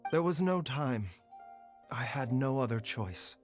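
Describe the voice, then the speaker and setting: Man playing a composed doctor, mid-pitched, formal, measured, tired, soft music behind. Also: expressive, natural voice. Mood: thoughtful. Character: calm, composed male physician, gentle and measured. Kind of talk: game dialogue.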